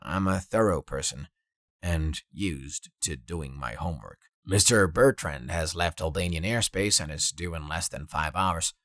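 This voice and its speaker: A man's voice: low voice, a little slow, fairly bright tone, no room sound, clean studio sound, pitched below the performer's own voice. A man voicing a cool, methodical operative in an audiobook, precise and businesslike.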